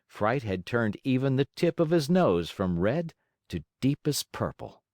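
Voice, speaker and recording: A male audiobook narrator reads a children's tale with theatrical emphasis. His pitch is medium and swings very widely, his pace is unhurried, and the recording is dry. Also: very animated, a little mellow, no echo. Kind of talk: expressive children's storybook narration.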